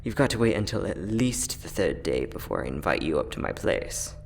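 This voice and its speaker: A man playing a friendly, teasing time-traveling inventor, soft-spoken and chatty in an ASMR roleplay audio drama. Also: deep voice, very animated, fairly quick, gravelly, a little hiss or hum, full character voice. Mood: playful, teasing. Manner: soft-spoken, chatty, playful, with frequent chuckles.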